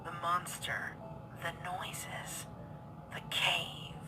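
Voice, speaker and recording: A woman's voice: fairly low pitch, little pitch movement, drawn-out words, whispered. A woman reading scripted narration for a dramatic story. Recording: loud music bed behind voice. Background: music.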